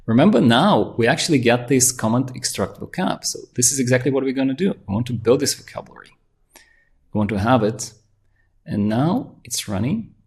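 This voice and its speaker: A man with a gravelly, creaky edge to a voice of middling pitch. He leads a screen-share walkthrough, talking quickly and with excitement, often restarting his sentences as he clicks through. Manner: excited, fast-talking demo with frequent restarts. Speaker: tutorial presenter.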